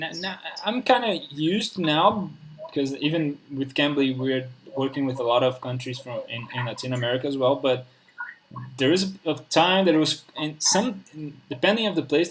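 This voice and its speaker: A man's voice: mid-range voice, fairly bright tone, slight background noise, poor audio. A man from Spain with a Spanish accent, a native Spanish speaker talking in English, hosting a casual conversation and often searching for words.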